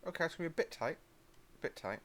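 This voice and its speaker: A man's voice: fairly high pitch, expressive, racing, bright, forceful tone. Young british man hosting a train game, rambling and chatty. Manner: rambling and chatty, apologetic asides. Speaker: gaming channel host. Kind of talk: casual train-sim let's-play commentary.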